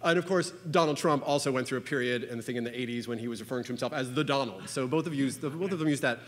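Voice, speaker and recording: A man giving an informal conference talk, halting and full of "uh" and "you know", with wry asides. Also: medium pitch, fairly bright tone. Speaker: conference speaker. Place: conference hall. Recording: long reverb of a lecture hall.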